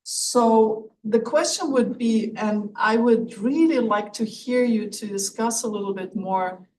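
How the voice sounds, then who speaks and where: A woman's voice: fairly high pitch, unhurried, a little mellow. Woman academic with German accent, hesitant and polite questioner, picked up from afar with faint noise. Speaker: visiting fellow, audience questioner. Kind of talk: academic question and comment from the audience. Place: lecture room audience. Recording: distant mic, faint room noise.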